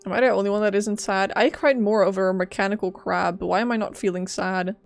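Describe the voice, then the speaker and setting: A young woman giving casual gameplay commentary as a streamer, with a blunt, flippant, deadpan manner. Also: medium pitch, fast, vocal fry. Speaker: game streamer. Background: faint game music.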